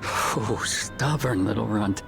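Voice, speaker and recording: An actor playing a smug, condescending deputy chief of frontier lawmen in a video game, speaking in a measured, formal way. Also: male voice, on the low side, creaky, over music. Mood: condescending, menacing.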